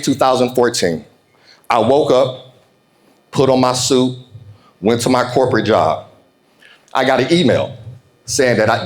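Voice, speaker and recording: An American man speaking informally and directly to an audience as he gives a motivational keynote talk. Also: medium pitch. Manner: conversational, informal, addresses listeners directly. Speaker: keynote speaker, entrepreneur coach.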